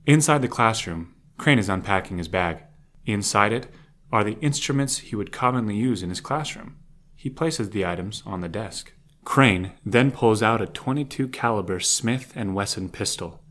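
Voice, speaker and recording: A man's voice: lowish voice, lively intonation, normal speed, a bit bright. A man narrating an audio drama from a script, describing scenes as the narrator.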